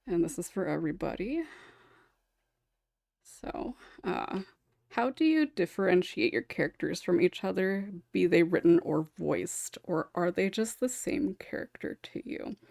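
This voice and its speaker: A woman's voice: lowish voice, animated, a little slow, creaky, darkish tone, dry recording, studio quality. A woman hosting a casual panel discussion, talking in a chatty, rambling way with frequent small chuckles. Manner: rambling, chatty, often chuckling as she talks. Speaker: podcast host and script writer.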